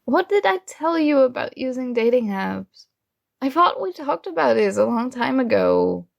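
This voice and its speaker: A woman playing a secretly jealous, teasing roommate in an intimate one-sided ASMR roleplay, with hesitant pauses. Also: high voice, wildly swooping pitch, gravelly, lo-fi sound, exaggerated voice. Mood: skeptical, prodding. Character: secretly jealous female roommate, teasing, hurt but feigning indifference.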